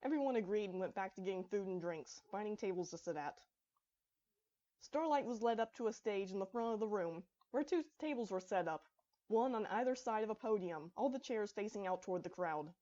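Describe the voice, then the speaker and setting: A woman's voice: mid-range voice, animated, fairly quick, dark tone, muffled recording. A woman reading her own fan fiction aloud as scripted story narration.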